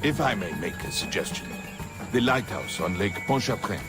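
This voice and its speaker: An actor voicing a jovial, sly, French-speaking smuggler in a video game, roguish and theatrical. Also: male voice, fairly low pitch, animated, music in the background. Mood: amused, sly. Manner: roguish, theatrical, drawn-out hesitations.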